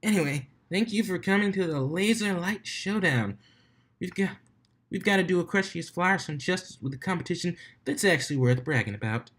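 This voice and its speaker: Brash female cartoon pony DJ for a storybook, performed by a man: pitched up, cocky, slangy, built to hype a crowd. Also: expressive, moderate pace, fairly bright tone. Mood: hyped showmanship. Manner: boisterous, slangy showmanship.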